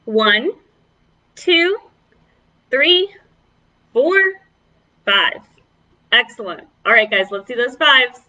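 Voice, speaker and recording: A woman's voice: fairly high pitch, sing-song, very slow, long pauses, bright, forceful tone, muffled recording. A woman children's librarian leading a preschool storytime, speaking to young children in an encouraging, exaggerated way.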